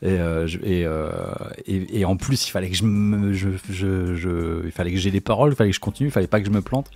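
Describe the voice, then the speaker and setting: A man chatting casually on a livestream into a streaming microphone, telling stories in an animated, informal, slangy way. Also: low voice, quick pace. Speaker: music producer and Twitch streamer.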